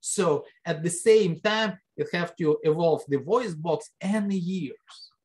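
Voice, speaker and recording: A male biology lecturer explains his points to the class. His delivery is conversational and full of rhetorical questions. His pitch leaps up on key words for emphasis. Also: slow. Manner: lively pitch jumps for emphasis. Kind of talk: recorded classroom lecture over slides.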